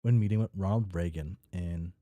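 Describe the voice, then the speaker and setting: A man's voice: low-pitched, normal speed, mellow, no room sound. A man casually reading a story aloud with off-the-cuff commentary, tripping over and repeating words and swearing freely. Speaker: reader reacting to a fan fiction.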